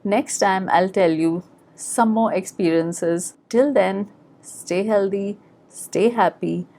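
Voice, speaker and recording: A woman's voice: medium pitch, slow pace, dark tone. Indian-accented woman telling personal stories. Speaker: podcast host telling her memoir. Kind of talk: personal storytelling.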